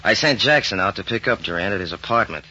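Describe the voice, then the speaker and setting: Bright, forceful FBI agent. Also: male voice, lowish voice, animated, quick pace, slight background noise. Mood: businesslike urgency. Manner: clipped, businesslike. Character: brisk, decisive male FBI agent. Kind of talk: 1940s radio crime drama acting. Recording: dull 1940s radio recording.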